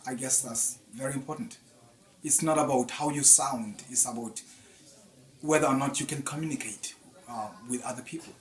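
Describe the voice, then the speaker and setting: A man giving a calm, deliberate answer as an interviewee. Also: medium pitch, echoey room.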